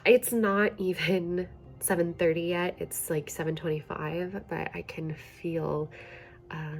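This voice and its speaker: A woman's voice: on the low side, steady tone, a little slow, soft background music. Congested, nasal young woman vlogging. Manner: chatty, soft-spoken, often laughing between phrases. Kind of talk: casual diary-style vlog.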